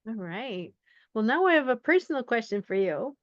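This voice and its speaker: A woman's voice: fairly high pitch, sing-song, moderate pace, dry recording. Woman podcast host, dull dry sound. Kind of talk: conversational interview questions.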